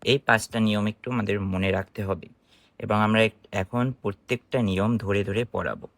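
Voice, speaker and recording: A man's voice: lowish voice, fairly even intonation, moderate pace, no echo. A man with a Bangladeshi accent giving an informal online grammar lesson in an explanatory, question-and-answer manner. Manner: explanatory, instructive, asking and answering own questions. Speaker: English grammar teacher.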